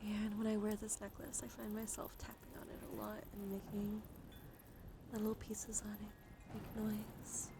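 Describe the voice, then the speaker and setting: A woman with a noticeably creaky, mid-pitched voice murmurs soothing ASMR to her livestream viewers. She moves between whispers and soft speech in an affectionate, intimate way. Clear background noise sits behind her voice. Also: completely flat delivery, normal speed. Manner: affectionate toward listeners. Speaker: live streamer hosting ASMR.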